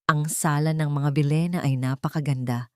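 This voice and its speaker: A woman narrating a scripted dramatic story in a measured, theatrical way. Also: deep voice, fairly even intonation, warm tone, clear, resonant tone, no room sound, studio quality.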